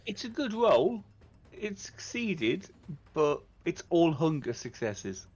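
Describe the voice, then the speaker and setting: A man's voice: on the high side, big pitch swings, slow, darkish tone. A man playing a tabletop roleplaying game, casually stating his moves and asking about rules. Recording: slightly dull, lo-fi sound.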